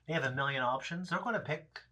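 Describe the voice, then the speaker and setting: A man's voice: fairly high pitch, fast, sharp tone. A man in his thirties chatting casually as a reaction show host, in a rambling, blunt, profanity-laced way. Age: 30s. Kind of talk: casual chat, episode discussion.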